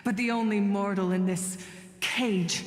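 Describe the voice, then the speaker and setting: An actress voicing a proud, scornful rebel knight in a fantasy game, delivering grand, impassioned speeches. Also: female voice, medium pitch, steady tone, a little slow, lightly acted. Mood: defiant. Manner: declamatory, grand, forceful oratory. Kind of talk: dramatic fantasy game voice acting. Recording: echoing hall reverb under music.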